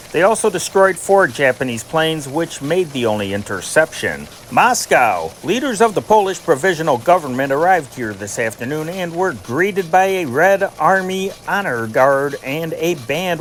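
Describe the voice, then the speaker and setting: Higher-pitched man reading formal news dispatches. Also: slight background noise. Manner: formal, announces each dateline city before the item. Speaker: news reader, channel narrator. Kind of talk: scripted news dispatch reading in old wire-service manner.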